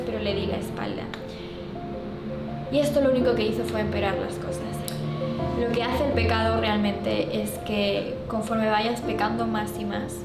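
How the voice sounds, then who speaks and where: A young Peruvian woman with a Spanish accent giving a personal testimony, halting with many fillers and restarts. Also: fairly high pitch. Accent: Spain. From Peru. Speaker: youth group speaker. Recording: echoey, distant mic, loud music behind.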